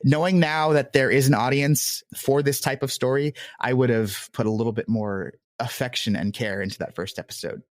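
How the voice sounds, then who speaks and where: A man's voice: medium pitch, fairly even intonation, normal speed, hardly any pauses, no echo, clean studio sound. A man giving casual, rambling, self-deprecating answers as an interview guest. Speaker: podcast creator being interviewed.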